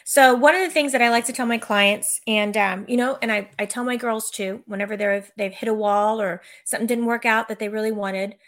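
A woman's voice: fairly high pitch, fairly even intonation, fairly quick, hardly any pauses, fairly bright tone. A woman author and coach talking in a casual conversation, explaining her ideas earnestly with occasional restarts.

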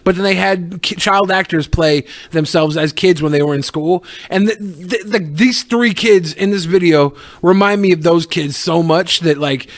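Guy with a pretty high voice, cohosting a web show, joking around in slang and swearing. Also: moderate pace, few pauses, dry recording. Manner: loose, slangy, jokey, profane. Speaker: co-host of a web talk show. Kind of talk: casual banter, reacting to videos and films.

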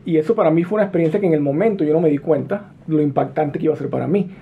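A man in his forties who grew up in Venezuela, telling his story as an interview guest in a relaxed, friendly way with frequent fillers. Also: fairly high pitch, racing, creaky. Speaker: interview guest, business executive. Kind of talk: conversational interview answers. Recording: muffled phone line with faint noise.

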